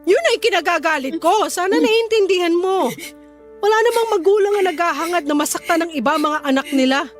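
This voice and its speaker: High-pitched fiery Filipina mother, protective. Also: expressive, fairly quick, soft background music. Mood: indignant, protective. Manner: feisty, scolding, blunt. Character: fiery, vengeful, protective middle-aged Filipina mother and grandmother. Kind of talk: radio drama acting.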